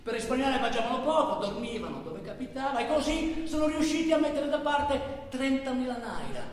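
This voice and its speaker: An actor playing an intense traveller who recounts stranded migrants' hardships in a halting, repetitive theatrical monologue. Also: male voice, very high-pitched, animated. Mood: halting, sombre. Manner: halting, repetitive delivery, swinging between shouts and murmurs. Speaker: stage actor. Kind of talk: dramatic theatrical monologue in Italian. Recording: very echoey hall, distant microphone.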